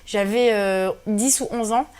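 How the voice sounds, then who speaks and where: A woman's voice: mid-range voice, unhurried, a little mellow, smooth. A woman in her twenties from Algeria, a native Berber speaker, answering interview questions earnestly with many hesitations and fillers. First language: Berber (Kabyle). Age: 20s. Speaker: guest activist.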